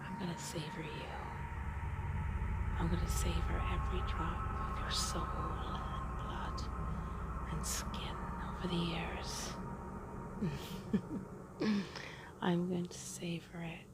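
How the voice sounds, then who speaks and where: Woman playing a slow whispering sadistic demoness. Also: on the low side, level tone. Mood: hungry, possessive. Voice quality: breathy whisper with creaky edge. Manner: slow, taunting, drawn-out menacing delivery. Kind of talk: whispered dark audio roleplay.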